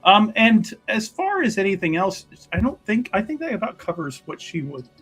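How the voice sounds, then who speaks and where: A man narrating his character's actions at a tabletop game in a casual, hesitant, halting way. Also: high-pitched, big pitch swings, a bit bright, husky. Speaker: player in a tabletop roleplaying game. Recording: faint music behind.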